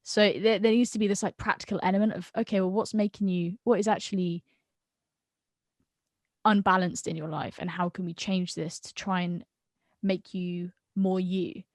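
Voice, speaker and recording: A woman from the UK speaks on a video call with a slightly low voice. She talks frankly and with energy, and her words tumble and restart. The sound is dry and close. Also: normal speed, no room sound. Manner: frank and animated, stumbling over words. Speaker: guest friend. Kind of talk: casual video-call chat.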